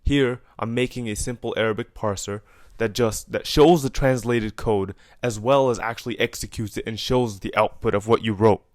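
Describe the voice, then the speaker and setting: A man's voice: on the low side, fairly even intonation, moderate pace, no room sound, clean studio sound. A young man with an Egyptian accent, casually walking through a coding project he built, with fillers and self-corrections. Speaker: programmer explaining his project.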